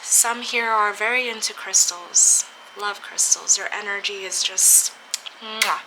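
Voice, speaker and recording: A woman slowly and dreamily reading tarot for an online audience, sounding a little stuffy from a cold, with soft laughs and pauses. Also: mid-range voice, steady tone, background noise. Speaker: tarot reader. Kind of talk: spiritual tarot reading for camera.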